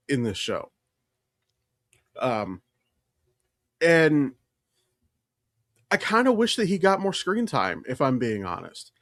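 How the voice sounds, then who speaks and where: A man's voice: medium pitch, a bit bright, no echo, studio quality. A man co-hosting a podcast in casual pop-culture chat, emphatic and opinionated, swearing freely. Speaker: podcast co-host.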